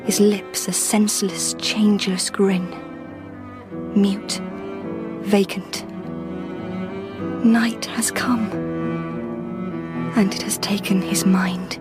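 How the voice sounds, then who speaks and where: An actress with a British accent playing an earnest, determined young Victorian wife, intense and probing, in a radio drama. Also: female voice, mid-range voice, flat delivery, takes it slowly, long pauses, over music, lightly acted. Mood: bleak, weary.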